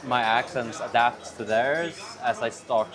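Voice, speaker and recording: A man's voice: mid-range voice, measured pace, music in the background. A man with a Swedish accent hosting a casual conversation, rambling with restarts and laughing often. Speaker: podcast host.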